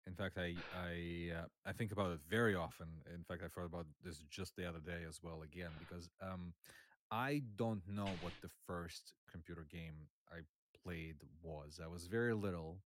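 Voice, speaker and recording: A man from Russia with a very low voice talks on a podcast as a guest, halting and full of fillers. The sound is dry and close, with no room around him. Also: steady tone, no echo. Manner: hesitant, many fillers. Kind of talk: casual podcast chat.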